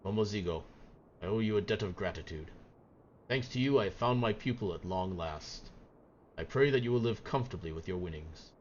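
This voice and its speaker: A man's voice: fairly low pitch, animated, a little fast, highs cut off, slight background noise, close to an everyday voice. A man voicing a solemn samurai master from a video game, speaking in grave, archaic and formal phrases. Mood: grateful, formal. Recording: game music behind the voice.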